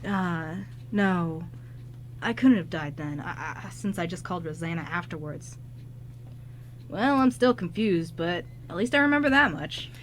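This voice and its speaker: A woman's voice: fairly low pitch, lively intonation, measured pace, a little hiss or hum. A woman playing a flustered, kind-hearted male ghost who stammers and rambles in an amateur radio drama. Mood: confused.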